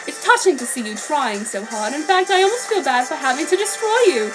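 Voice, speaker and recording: A boastful four-hundred-year-old vampire king, put on by a woman reader, lordly and theatrical. The voice sits high and swings widely in pitch. A film soundtrack plays clearly behind the reading. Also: expressive, a little fast, low-quality recording. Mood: gloating. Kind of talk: fan fiction dramatic reading. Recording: background soundtrack music.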